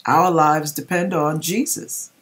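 A middle-aged woman reading aloud and commenting in a deliberate, dramatic way with pauses, recorded in a home kitchen. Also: deep voice, fairly even intonation. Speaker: vlogger reading a viewer's dream and commenting. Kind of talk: expressive read-aloud with religious commentary.

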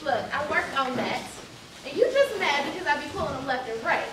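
An actress playing a playful, vain young best friend in a stage play, with slangy, teasing delivery. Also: female voice, high voice, very animated, a little fast, crowd noise. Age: young adult. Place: theater stage, filmed from far camera. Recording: very echoey, loud noise, distant stage recording.

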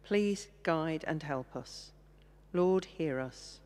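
A woman leading intercession prayers in a church service, reading slowly and steadily at a stand microphone. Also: low-pitched, darkish tone. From England. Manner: slow, measured reading. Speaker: intercessions leader. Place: large church, indoors. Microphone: stand mic, far camera. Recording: long church reverb.

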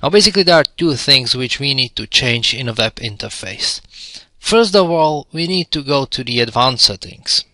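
A man's voice: mid-range voice, lively intonation, normal speed, bright, forceful tone, no echo. A man narrating an instructional product demo in a friendly, step-by-step explanatory way. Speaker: tutorial presenter.

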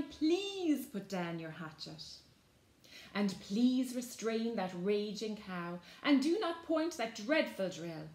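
A woman's voice: medium pitch, a little mellow. A woman voicing a cowardly young male hoodie who pleads in an exaggerated storybook way. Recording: roomy echo.

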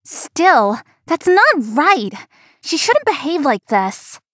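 An actress voices a young female florist for a visual novel, feisty beneath her politeness. Her high voice swings expressively and sounds earnest, recorded close and dry. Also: very animated, slow pace, a bit bright, dry recording, heavily acted. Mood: indignant.

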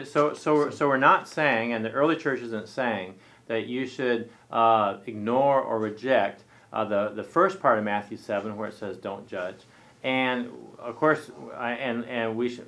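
A man in his forties co-hosting a studio Bible discussion, explaining his points in a measured way. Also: fairly low pitch, a bit bright. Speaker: co-host. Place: small studio set with armchairs.

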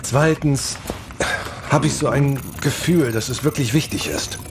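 An actor playing an adventurous, resolute spaceship captain in a science fiction audio drama, casual and confident. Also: male voice, medium pitch, a little slow, noisy background, close to an everyday voice.

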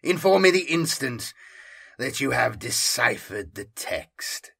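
A huge, menacing warlord of dark gods, performed by a male narrator, speaks with grave command. The voice is husky and forceful, with slow, imperious delivery suited to a science fiction audiobook villain. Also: mid-range voice, sing-song, heavily acted. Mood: impatient, imperious.

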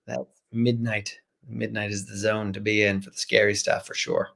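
A man in his forties, a guest author chatting casually on a video call, repeating phrases for emphasis in an animated way. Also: lowish voice, moderate pace, creaky. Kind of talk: casual interview chat. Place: small room at home, indoors. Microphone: video call, computer microphone.

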